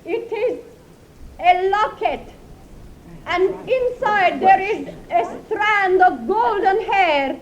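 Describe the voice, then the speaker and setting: An actress playing an entranced, solemn clairvoyant, halting and incantatory, in 1940s-style radio drama. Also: female voice, very high-pitched, expressive, a little hiss or hum, heavily acted. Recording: muffled vintage radio recording.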